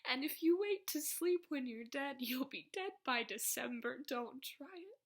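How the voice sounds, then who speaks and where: A young woman performing slam poetry in an emotional, vulnerable, rhythmic delivery that drops into hushed phrases. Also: high-pitched, expressive, measured pace. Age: young adult. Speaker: slam poet.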